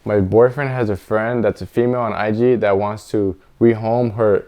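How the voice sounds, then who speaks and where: Man, podcast co-host, fairly low pitch, warm dark tone, casual slangy advice chat. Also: steady tone, normal speed. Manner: informal, slangy.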